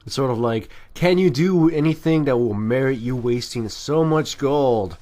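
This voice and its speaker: A man's voice: medium pitch, moderate pace, dark tone. Rambling man giving casual game commentary. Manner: rambling, self-deprecating. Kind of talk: casual amateur gameplay commentary.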